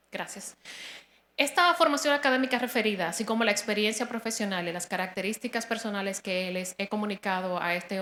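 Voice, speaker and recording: A woman from the Dominican Republic in her thirties, giving a formal, measured interview presentation as a candidate for a judgeship, with frequent hesitations. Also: medium pitch, fairly bright tone, a little hiss or hum. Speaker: judicial candidate. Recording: long hall reverb.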